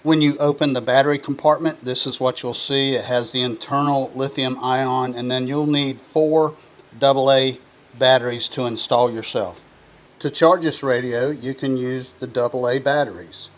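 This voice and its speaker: A man presenting a product review, explaining features one by one in a plain, steady way. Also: medium pitch, normal speed.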